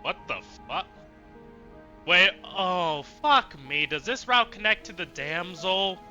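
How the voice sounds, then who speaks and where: Male let's-player, high and very lively, profane, exasperated, reactive live commentary. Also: takes it slowly, muffled recording, faint music behind. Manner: exasperated, reactive, swears freely. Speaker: video game streamer.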